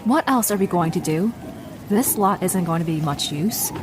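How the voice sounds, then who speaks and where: A woman's voice: on the low side, lively intonation, normal speed, background noise, lightly acted. A woman playing a pragmatic, impatient adventurer in a fantasy audio drama, matter-of-fact and decisive. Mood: firm, impatient.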